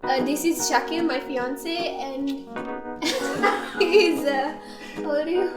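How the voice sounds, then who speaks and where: A woman's voice: sits high, takes it slowly. A woman in her twenties chatting casually in a group. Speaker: participant in a couples discussion. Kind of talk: casual chat. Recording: echoey distant mic, loud guitar music.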